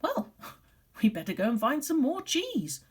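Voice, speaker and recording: Woman voicing a breezy, lively little storybook mouse. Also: high-pitched, unhurried, slightly rough, voice pushed up, full character voice. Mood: breezy, content. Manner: breezy, unbothered, matter-of-fact. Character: easygoing, cheerful, practical little mice.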